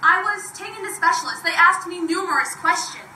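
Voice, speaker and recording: A woman's voice: very high voice, a little fast, sharp tone, distant mic, heavily acted. A teenage girl playing an articulate, exasperated schoolgirl who narrates with theatrical wryness in readers theater. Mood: wry. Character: bright, exasperated schoolgirl narrating her own story. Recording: echoey, faintly noisy room from afar.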